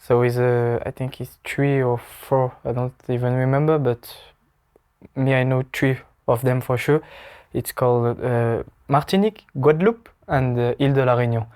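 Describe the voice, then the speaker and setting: A young man in his twenties with a French accent, answering interview questions in halting English, searching for words and laughing easily. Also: mid-range voice, fairly even intonation, a little mellow, clear, resonant tone. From France. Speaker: footballer. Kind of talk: casual interview.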